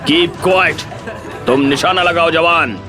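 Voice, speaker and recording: A man playing a stern, authoritative army general who speaks gravely and gives orders in an audio drama. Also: a little high, slow, music in the background, a crowd in the background. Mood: commanding. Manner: commanding, grave, clipped orders. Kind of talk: Hindi audio story dramatization.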